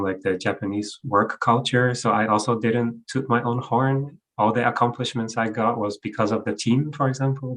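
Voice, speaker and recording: A man's voice: fairly low pitch, a bit bright, low-quality recording. A European man with a hard-to-place mixed English accent, speaking candidly as a guest on an online panel. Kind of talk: casual panel discussion. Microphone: video call.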